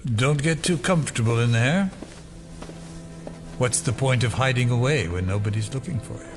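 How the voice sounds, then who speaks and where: A man's voice: medium pitch, normal speed, music in the background, natural voice. An actor playing a wary henchman to a conspiracy leader in an audio drama, with dry, questioning, sardonic delivery. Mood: needling.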